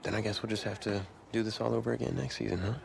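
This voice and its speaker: A man's voice: lowish voice, flat delivery, rapid-fire, creaky, a little mellow, a little hiss or hum. A man playing an irritable, competitive young college quarterback in a naturalistic video game cutscene. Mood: subdued. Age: young adult.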